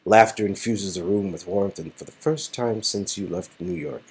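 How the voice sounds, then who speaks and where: A man's voice: deep voice, moderate pace, husky. A man reading scripted second-person romance narration and dialogue from an interactive story. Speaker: narrator and co-reader.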